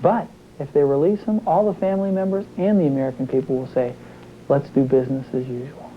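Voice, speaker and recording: A man's voice: on the high side, fairly even intonation, fast, over music. A man being interviewed in a news report, speaking earnestly and plainly. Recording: tinny, phone-like archive news audio.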